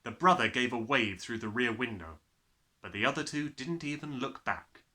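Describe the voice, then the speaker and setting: A man narrates a children's story with animated, swooping intonation. His mid-pitched voice is bright and forceful, and his reading is expressive. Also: moderate pace.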